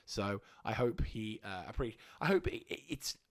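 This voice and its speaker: A man with a slightly hoarse throat giving a casual channel update as a gaming YouTuber, rambling and halting with frequent restarts. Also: lowish voice, expressive, no room sound, clean studio sound.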